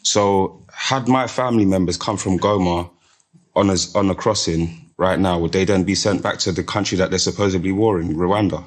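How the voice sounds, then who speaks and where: A man's voice: low voice, moderate pace. A man in a TV studio audience asking an earnest, insistent question of a panel. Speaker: audience member on a TV debate. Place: large studio hall.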